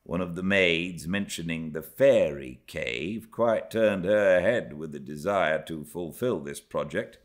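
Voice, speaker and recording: A middle-aged British man reading classic literature aloud as an audiobook narrator, in a measured, theatrical, expressive style. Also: low voice. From Britain.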